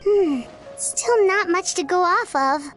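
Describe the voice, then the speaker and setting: A woman voicing a tiny, chatty, curious female companion in a video game, with a squeaky childlike voice. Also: slow pace, fairly bright tone, exaggerated voice. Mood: sympathetic. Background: faint game music.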